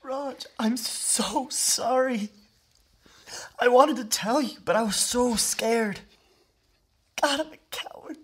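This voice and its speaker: A man's voice: very high-pitched, fairly bright tone, heavily acted. Overwrought, guilt-ridden young man, acted by a man. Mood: fearful, sobbing. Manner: pleading, stammering, overwrought. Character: guilt-ridden, frightened young man, anguished best friend. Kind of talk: emotional audio drama acting.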